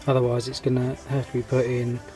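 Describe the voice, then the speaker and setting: A man's voice: medium pitch, completely flat delivery, quick pace, over music. A man with a cold and a fading voice, hosting a hobby channel, giving a casual, hesitant update full of ums and restarts. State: congested with a cold, losing his voice. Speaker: scale model hobby channel host. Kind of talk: casual rambling vlog update.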